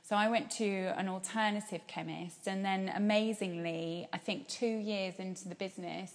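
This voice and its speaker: A woman with a soft, gentle voice, talking calmly as an interview guest about her business and laughing often. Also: medium pitch, normal speed, big-room sound. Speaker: guest, small business owner. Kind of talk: casual interview conversation.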